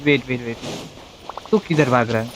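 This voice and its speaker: A man's voice: mid-range voice, fairly even intonation, rapid-fire, noisy background. A man with an Indian accent doing casual, playful live game commentary, often repeating phrases. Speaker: game streamer. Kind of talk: casual live gameplay commentary, mixing Hindi and English. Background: game music.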